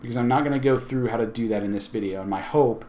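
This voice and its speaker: A man hosting a software screencast tutorial, explaining in a conversational way as he thinks aloud. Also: mid-range voice, fast talker, slight background noise. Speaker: tutorial host.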